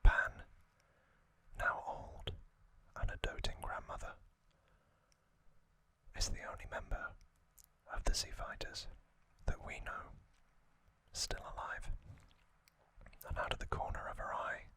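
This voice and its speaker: Whispering man, very slow ASMR narration. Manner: slow, hushed, gentle storytelling in short broken phrases. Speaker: ASMR video host.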